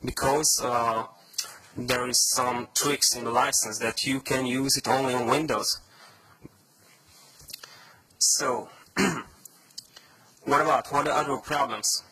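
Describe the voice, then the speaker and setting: A man's voice: medium pitch, fairly even intonation, slow, long pauses, pushed and bright, slight background noise, poor audio. A man with a Hungarian accent giving a conference talk, reading a prepared speech with many hesitations and restarts. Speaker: conference speaker.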